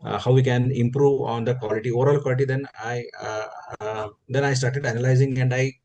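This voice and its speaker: A man asking a question in a webinar over a video call, hesitant and full of pauses and fillers. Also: mid-range voice, steady tone, darkish tone, lo-fi sound, a little hiss or hum.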